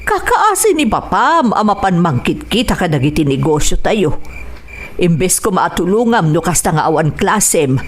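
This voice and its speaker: A woman's voice: lowish voice, sing-song, normal speed. A woman with an Ilocano accent playing a worried, pleading middle-aged mother in a radio drama.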